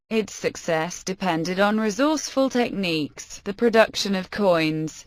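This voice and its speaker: A woman narrator reading scripted encyclopedic text aloud in a formal, measured manner. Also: mid-range voice, no echo.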